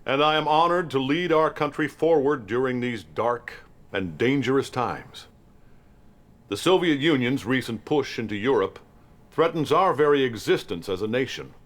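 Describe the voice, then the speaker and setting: An actor playing a grandiose, hawkish American president with an American accent, giving an emphatic political speech with dramatic pauses for a video game. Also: male voice, mid-range voice, expressive, fairly bright tone, natural voice. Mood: solemn.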